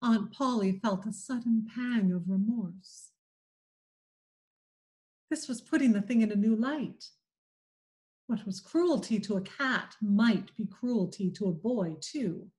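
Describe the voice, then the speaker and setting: A woman reading a classic novel aloud, slow and expressive, giving the characters voices. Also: medium pitch. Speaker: community reading program volunteer reader.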